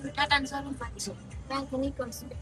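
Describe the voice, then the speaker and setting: High-pitched young woman, chatty vlogger. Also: little pitch movement, quick pace, music in the background. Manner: chatty, teasing, mixes in English words. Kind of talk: casual vlog chat.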